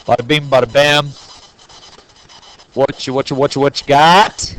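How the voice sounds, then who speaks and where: A man's voice: mid-range voice, steady tone, unhurried, a bit bright, low-quality recording. A man teaching a math lesson to his class, joking and playful, talking straight to the students. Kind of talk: classroom lesson recorded on video.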